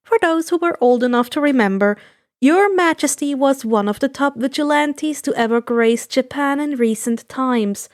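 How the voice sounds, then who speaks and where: A woman narrates a piece of fan fiction. Her voice is high in pitch, and she reads the scripted prose as a storyteller. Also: normal speed.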